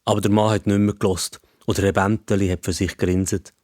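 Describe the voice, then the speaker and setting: A man's voice: lowish voice, level tone, fast, a little mellow, no room sound, studio quality. A man with a Swiss accent telling a scripted story for children as narrator.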